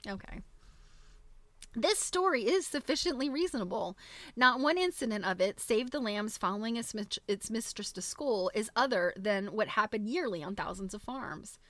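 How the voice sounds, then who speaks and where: A woman hosting a live book reading, reading aloud with expressive, giggly, chatty asides. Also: on the high side, moderate pace, no echo. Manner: giggly, reacts expressively to what is read.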